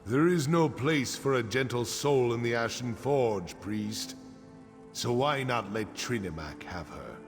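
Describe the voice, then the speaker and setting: An actor playing a grim, resolute orc clan chief in a fantasy video game, gruff and solemn with emphatic delivery. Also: male voice, mid-range voice, animated, unhurried, music in the background, lightly acted. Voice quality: gravelly.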